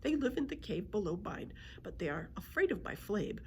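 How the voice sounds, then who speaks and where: A woman's voice: mid-range voice, sing-song, moderate pace, slight background noise. A woman voicing a small, polite but indignant male dragon with a head cold in a children's storybook. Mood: disdainful. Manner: courteous, fussy, complaining.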